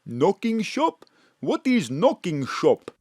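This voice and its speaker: An actor playing a cheerful, flirtatious foreign neighbour who mixes up English words. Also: male voice, sits high, slow pace, breathy edge, no room sound, studio quality, exaggerated voice. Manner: warm, flirtatious, lively. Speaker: Sakis, café owner friend. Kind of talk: live audio drama acting. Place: home studio.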